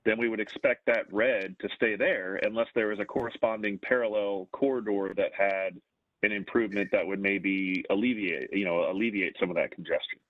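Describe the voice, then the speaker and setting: A man's voice: on the low side, fairly even intonation, normal speed, no room sound. A man on a video call explaining to colleagues, checking in with rhetorical questions. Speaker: planner on a webinar. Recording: tinny, dry phone-like line.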